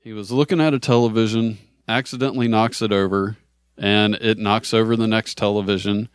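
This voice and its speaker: A man's voice: fairly low pitch, fairly even intonation, fairly quick, clear, resonant tone, no echo, clean studio sound. An American man hosting a casual comedy podcast in an easygoing conversational way. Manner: laughs often while talking. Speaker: podcast host.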